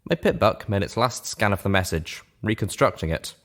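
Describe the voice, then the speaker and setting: A man's voice: lowish voice, animated, moderate pace, fairly bright tone. A man reading a first-person story aloud as scripted narration. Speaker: narrator, reader of fan fiction.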